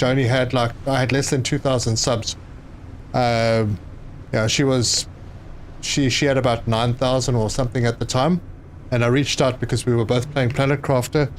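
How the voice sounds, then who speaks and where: A man's voice: mid-range voice, a little hiss or hum. Chatty man streaming a game. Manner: chatty, reads chat aloud, hesitant with fillers. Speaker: gaming live streamer. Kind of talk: casual live stream commentary.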